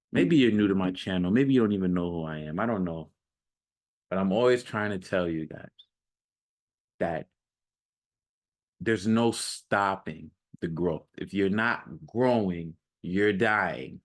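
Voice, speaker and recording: Emphatic man giving a casual motivational monologue. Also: fairly low pitch. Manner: emphatic, repetitive, addresses listener directly with rhetorical questions. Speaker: self-improvement video creator and coach.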